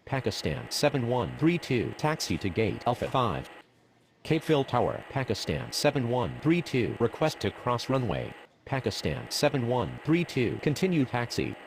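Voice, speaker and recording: A man's voice: mid-range voice, very animated, moderate pace, gravelly, slight background noise, poor audio. A man playing a businesslike airport radio operator in a simulator game, speaking clipped, formal, scripted phraseology. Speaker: air traffic radio voice.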